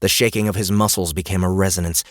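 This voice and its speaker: A man narrating a fantasy audiobook in a dramatic, deliberate way, with measured pauses. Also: sits low, fairly even intonation, dry recording, clean studio sound. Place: dry recording studio.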